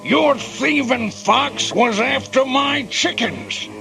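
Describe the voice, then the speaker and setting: An actor playing a gruff, hot-tempered old American farmer and hunter in an animated film, with a folksy drawl. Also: male voice, very high-pitched, slow pace, full character voice. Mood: furious. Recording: loud music behind the voice.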